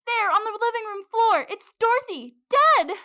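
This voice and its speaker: Woman acting a high-voiced, theatrical polite lady. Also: expressive, normal speed, sharp tone, heavily acted. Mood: shocked. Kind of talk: amateur radio drama acting.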